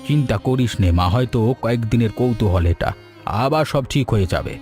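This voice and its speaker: A man voicing a kindly, patient old grandfather who runs a food shop, gentle and earnest, in a cartoon story. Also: medium pitch, expressive, brisk, faint music behind, close to an everyday voice. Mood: reassuring. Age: older.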